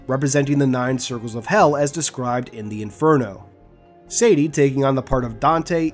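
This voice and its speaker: A man presenting a video as its host, reading scripted explanatory narration. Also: mid-range voice. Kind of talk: scripted guide and lore narration.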